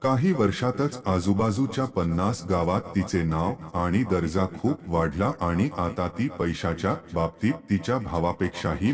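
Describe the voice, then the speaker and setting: A man's voice: low-pitched, darkish tone. A man reading a scripted moral tale as a storyteller.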